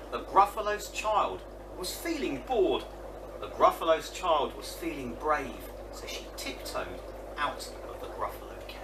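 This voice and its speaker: Male children's story narrator, dramatic, unhurried delivery, noisy recording. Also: fairly high pitch, echoey room. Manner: dramatic, expressive. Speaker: story reader. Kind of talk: children's picture book narration. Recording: distant mic with clear noise.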